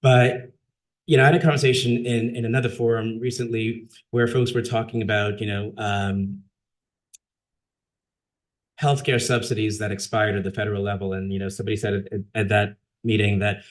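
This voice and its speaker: A man's voice: on the low side, flat delivery, moderate pace. A man, a city council member, giving earnest remarks at a council meeting, with many fillers and restarts.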